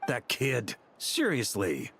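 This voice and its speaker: An actor playing a seasoned knight grandmaster in a video game, world-weary and shrewd, with a dry, measured delivery. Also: male voice, mid-range voice, wildly swooping pitch, creaky, fairly bright tone, slight background noise, full character voice. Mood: commanding. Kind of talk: dramatic video game voice acting.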